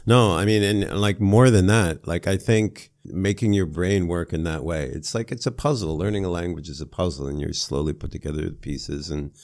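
A man in his thirties from Canada, a language teacher chatting as a podcast guest, filling his speech with "like" and "I mean", recorded in a small studio on a boom-arm mic. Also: moderate pace, few pauses, a little mellow. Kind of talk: casual conversation. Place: small studio with foam panels.

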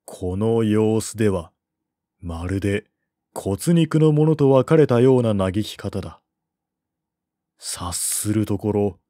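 A man narrating a historical novel as an audiobook, with a measured, expressive literary delivery. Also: mid-range voice, no room sound, studio quality.

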